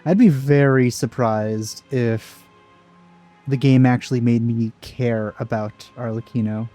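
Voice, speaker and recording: A man's voice: mid-range voice, fairly even intonation, measured pace, mellow. A man giving casual let's play commentary in a dry, sceptical manner. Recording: game music behind the voice.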